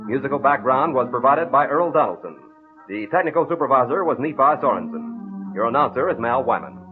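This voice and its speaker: Animated American old-time radio announcer man. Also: medium pitch, a little fast. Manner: formal scripted sales and credit reading. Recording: phone-like old radio recording, music.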